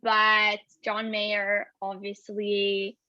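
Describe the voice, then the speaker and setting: A woman's voice: mid-range voice, completely flat delivery, extremely slow, no room sound. Bubbly young woman, dull dry sound. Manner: bubbly, says "like" constantly, laughs often. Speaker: singer-songwriter guest. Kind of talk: casual interview chat.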